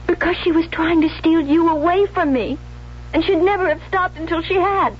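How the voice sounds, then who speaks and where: A woman's voice: extremely high pitch, normal speed, a little hiss or hum. An actress playing a jealous, unstable young woman in a 1970s radio drama, intense and pleading, on a dull vintage recording. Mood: anguished confessing. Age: young adult. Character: jealous, obsessive, unstable young bride-to-be turned killer.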